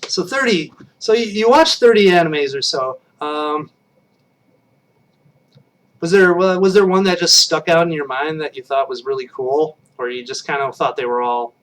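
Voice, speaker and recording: A man in his late thirties chats with his viewers from home, his voice sitting a little higher than most men's. He rambles and restarts his sentences, poking fun at himself as he goes. Now and then he drifts away from the webcam mic, so his voice sounds distant. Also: normal speed, a bit bright. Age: 30s. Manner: rambling and self-deprecating, many restarts. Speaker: live stream host. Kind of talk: casual chat with viewers.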